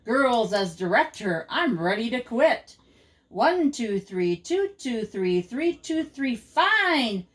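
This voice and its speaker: A woman's voice: a little high, big pitch swings, takes it slowly. A woman voicing an exasperated dinosaur dance director in a storybook poem, counting and correcting the chorus line in rhythm.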